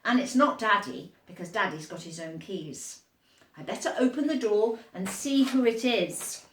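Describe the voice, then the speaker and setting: A woman's voice: mid-range voice, normal speed, reverberant, natural voice. A woman voicing a polite, hospitable mother in a children's storybook, speaking in a considerate, inviting manner. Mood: wondering. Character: polite, hospitable storybook mummy of a little girl.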